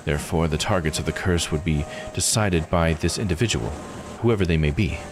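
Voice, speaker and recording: A man acts out a stern, scholarly investigator from a visual novel, dropped into a low register. The delivery is formal, measured and grave, with music behind it. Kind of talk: visual novel character read-aloud.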